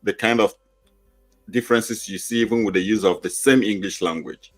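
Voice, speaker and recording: Male panelist, lower voice, patient explainer. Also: steady tone, moderate pace, faint music behind. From Nigeria. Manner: explains with concrete examples, emphatic. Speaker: panelist, teacher of newcomer classes.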